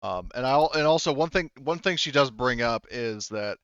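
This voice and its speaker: A man's voice: mid-range voice, animated, normal speed, a bit bright, smooth, no room sound, muffled recording. A man speaking as game master, narrating a tabletop roleplaying game in a conversational way.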